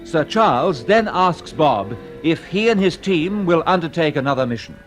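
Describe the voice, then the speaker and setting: A man's voice: a little high, lively intonation, moderate pace, natural voice. A man playing the announcer of a 1950s radio space serial, narrating the story in dramatic style. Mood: dramatic, earnest. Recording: old radio recording, music behind.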